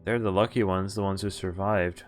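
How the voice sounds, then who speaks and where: A man's voice: deep voice, fairly even intonation, normal speed. A young man on a live playthrough, reading visual novel text aloud and casually breaking off to comment. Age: young adult. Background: game music.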